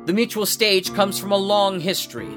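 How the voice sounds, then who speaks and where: A man's voice: high voice, moderate pace, music in the background. A man hosting a radio theater show, giving a formal, showman-like scripted introduction. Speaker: host.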